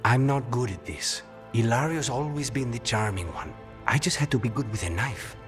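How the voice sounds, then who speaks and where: An actor playing a reserved, sincere assassin in a fantasy game, with an understated delivery. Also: male voice, mid-range voice, animated, moderate pace, faint music behind, close to an everyday voice. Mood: earnest. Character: reserved, earnest male assassin with a soft heart. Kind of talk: game dialogue acting.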